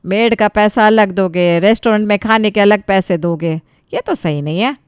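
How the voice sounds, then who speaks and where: Woman as older mother-in-law, disapproving, thrifty, middle pitch, dry sound, family story. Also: normal speed, clear, resonant tone, no room sound, lightly acted. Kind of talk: dramatized family story dialogue.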